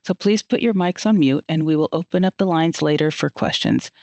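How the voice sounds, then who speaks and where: A man moderates a live webinar, reading housekeeping notes in a plain, procedural way. His voice sits a bit high and has a gravelly edge. The sound is dry, with no room echo. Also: fairly quick, darkish tone. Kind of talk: event announcements.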